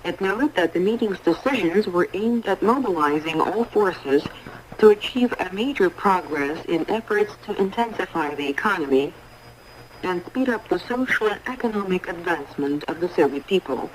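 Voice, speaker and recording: A woman radio announcer reading international news in a formal, measured, scripted 1980s style over shortwave radio. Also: fairly low pitch, animated, a little hiss or hum, rough recording.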